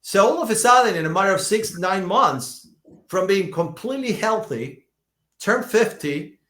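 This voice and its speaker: A man in his fifties, a doctor being interviewed, telling stories in a lively way, often restarting and repeating words. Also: high-pitched, moderate pace, echoey room. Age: 50s. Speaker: functional medicine doctor, guest. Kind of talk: conversational podcast interview.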